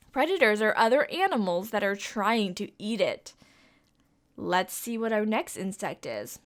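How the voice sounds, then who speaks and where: A young woman reading a picture book aloud to children, with a sing-song, coaxing way of asking the listeners questions. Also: mid-range voice. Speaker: children's storytime reader.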